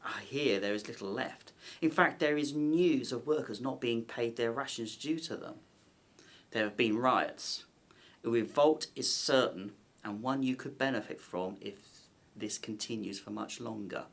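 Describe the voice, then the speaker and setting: A man's voice: medium pitch, unhurried, creaky, a bit bright. A man playing a nervous, wary palace conspirator of ancient Egypt in an audio drama, speaking formally and theatrically. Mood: grave, conspiratorial.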